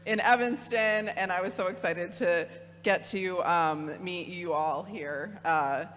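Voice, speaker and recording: A woman's voice: lowish voice, slow pace, a bit bright, a little hiss or hum. A woman in her thirties with an American Midwest accent, giving a friendly, chatty talk as a guest speaker with frequent ums. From Michigan, USA. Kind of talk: casual, personable lecture. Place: parish hall or church. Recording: long reverb of a church hall.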